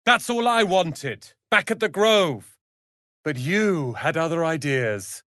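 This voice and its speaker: A snooty young wizard apprentice for a fantasy game, really animated pitch, touchy and sharp tongued, dry close recording. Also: male voice, slow pace, dry recording, full character voice. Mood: bitter. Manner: haughty, theatrical, sharp-tongued. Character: proud, irritable young male wizard apprentice, quick to anger. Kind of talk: dramatic fantasy video game acting.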